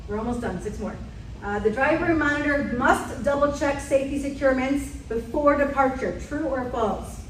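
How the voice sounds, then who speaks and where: A woman's voice: high voice, moderate pace, a little hiss or hum. A woman running a transport staff safety meeting, quizzing the room in a direct way. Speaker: safety trainer. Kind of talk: leading a staff safety quiz. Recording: echoey room from far off.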